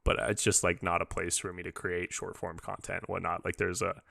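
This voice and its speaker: Very low, creaky-voiced man. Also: monotone, pushed and bright, no echo, studio quality. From born in England, moved to the US as a child. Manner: informal, rambling, halting, says "dude" and "like" a lot. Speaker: podcast host and interviewer. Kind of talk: casual podcast interview chat.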